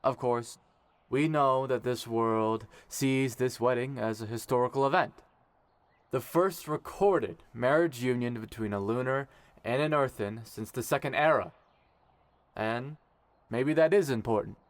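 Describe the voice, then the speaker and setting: A man playing a dignified, sincere young emperor in an audio drama, speaking in a measured, formal way. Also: mid-range voice, no echo, close to an everyday voice. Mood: solemn, sincere. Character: warm, dignified young emperor officiating a wedding.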